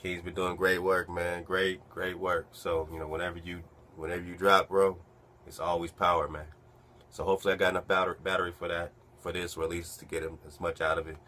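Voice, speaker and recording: A very deep-voiced man hosts a stream in a hurried, slangy way, with little rise or fall in his pitch. A faint noise hum runs under his speech. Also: level tone, sharp tone. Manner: hurried, slangy, repeats himself. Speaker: host. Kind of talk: casual live-stream chat.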